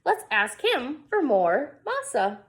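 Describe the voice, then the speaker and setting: A woman's voice: high-pitched, slow, pitched above the performer's own voice, heavily acted. A woman voicing an eager, earnest, worried young boy in a children's storybook read-aloud. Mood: excited, pleading. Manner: expressive, earnest.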